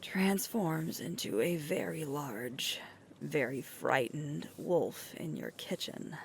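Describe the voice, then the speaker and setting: A woman playing a sweet, tired alpha werewolf girlfriend in an intimate roleplay ASMR, murmuring softly and breathily close to the microphone. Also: low voice, lively intonation, a little hiss or hum, exaggerated voice. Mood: soft, musing. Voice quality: breathy, creaky, often whispering. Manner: slow, soft, unhurried intimate murmur with pauses.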